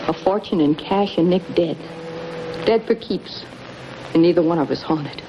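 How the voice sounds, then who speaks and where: An actress playing a bitter, manipulative gangster's moll with a melodramatic, hard-boiled delivery in an old crime drama. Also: female voice, fairly low pitch, normal speed, natural voice. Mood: cold, scheming. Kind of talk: mid-century film noir crime drama acting. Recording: muffled, hissy old broadcast recording.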